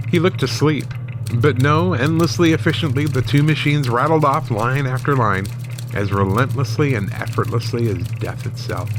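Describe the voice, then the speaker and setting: Measured newsman, acted by a man, over humming noise. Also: mid-range voice, natural voice. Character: calm veteran male newsroom night man, quietly uneasy narrator. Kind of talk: first-person horror story narration. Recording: clear background noise and hum.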